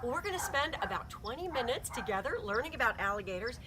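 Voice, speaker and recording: A woman's voice: on the high side, fast, crowd noise. A middle-aged woman who works as a heritage interpreter, giving an upbeat, encouraging guided nature talk outdoors to a small group. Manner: upbeat, encouraging, lively speaker. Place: outdoors at a ranch.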